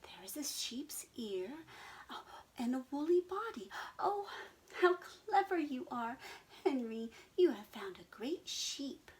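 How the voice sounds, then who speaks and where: A woman putting on a flock of storybook sheep, bleating out their words in a bright, high voice that bounces up and down. They are eager and stubborn, quick to insist they are right. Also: big pitch swings, full character voice, rough recording. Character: three chattering farm sheep, eager and stubborn.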